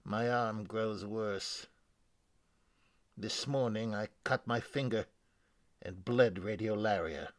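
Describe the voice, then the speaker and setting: A man's voice: on the low side, takes it slowly, long pauses, no echo. A man playing a weary, grave scholar being changed by machine parasites, formal and brooding in a dramatic game lore reading. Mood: brooding, uneasy.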